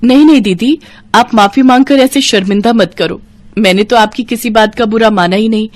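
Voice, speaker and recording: A woman voicing a humble, gentle poor working mother with a deferential way of speaking in a story. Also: on the high side, moderate pace, natural voice.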